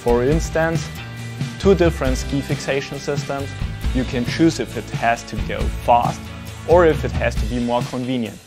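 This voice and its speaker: A man reading a scripted product promo in a brisk, clean manner. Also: medium pitch. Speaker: product presenter. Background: guitar music.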